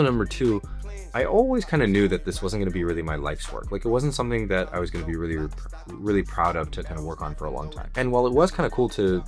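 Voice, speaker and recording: Young man, from Singapore, very low voice, rapid casual vlog talk, candid and rambling. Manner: candid, rambling, self-reflective. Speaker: vlogger and founder.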